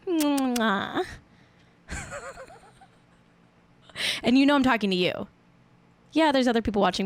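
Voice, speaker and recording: A woman's voice: sits high, no room sound. A woman in her late twenties hosting a live stream show, chatting in a rambling, playful, self-mocking way. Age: 20s. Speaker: show host. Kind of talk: casual livestream chat.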